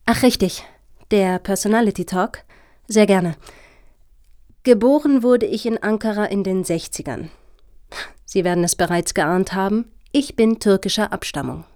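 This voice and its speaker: A woman's voice: mid-range voice, normal speed, darkish tone, close to an everyday voice. A woman playing a self-righteous middle-aged female activist in a satirical radio play, speaking emphatically and preachily. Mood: self-presenting.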